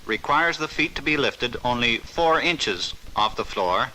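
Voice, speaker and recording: A man giving a formal, measured, scripted narration in the style of a 1950s instructional film. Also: mid-range voice, expressive, pushed and bright, a little hiss or hum, low-quality recording.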